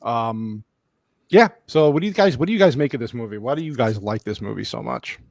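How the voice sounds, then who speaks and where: A man hosts a movie-review livestream in loose, joking chat full of fillers. His voice sits at an ordinary pitch. The sound is dry and close. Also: expressive, a little fast, vocal fry, darkish tone, dry recording. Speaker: livestream host.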